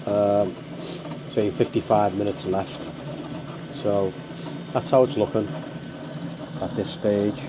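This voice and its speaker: A man's voice: fairly low pitch, steady tone, slow pace, long pauses, noisy background. A man with a cold and a stuffy, bunged-up voice, hosting his hobby channel in a rambling casual how-to with lots of ums and uhs. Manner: rambling, full of ums and uhs, keeps saying guys.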